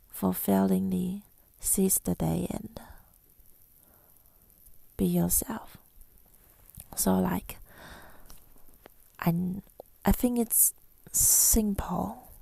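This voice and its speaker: A woman speaking in a soft, breathy whisper in an ASMR style, reflective and hesitant, pausing often, close to the microphone. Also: fairly low pitch, no room sound. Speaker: ASMR creator. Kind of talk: ASMR, rambling personal reflection.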